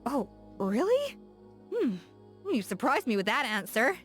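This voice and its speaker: A woman's voice: on the high side, measured pace. An actress playing a confident, playful young woman chef in a game, self-assured and curious, with faint music behind her. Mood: musing. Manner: self-assured, teasing, lively. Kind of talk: game character voice lines.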